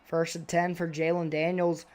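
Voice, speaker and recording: A young man doing play-by-play commentary on a video game football match, excitable and a little raspy, his voice jumping on big plays. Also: normal speed. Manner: excitable, voice jumps high and loud on big plays.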